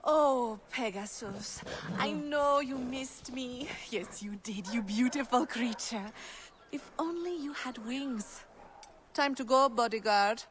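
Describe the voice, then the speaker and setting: A woman's voice: on the high side, takes it slowly, background noise. A woman voicing a spoiled, playful young noblewoman of ancient Egypt in a game cutscene, with an airy, haughty, teasing manner. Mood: doting. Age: young adult. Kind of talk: dramatic game cutscene acting. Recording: music behind the voice.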